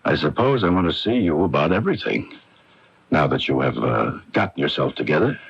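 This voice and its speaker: An actor playing a casual, probing, shrewd police lieutenant with a gravelly voice in a 1980s radio mystery. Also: male voice, very deep voice, expressive, brisk, full character voice. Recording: dull, lo-fi radio broadcast recording.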